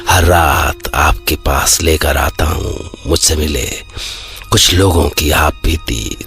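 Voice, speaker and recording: A man playing a grave psychologist who hosts a horror audio drama, narrating in a deliberate, suspenseful way. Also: rumbling low, steady tone, fairly bright tone, exaggerated voice. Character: grave male psychologist presenting true eerie accounts. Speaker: host and narrator. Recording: music bed under the voice.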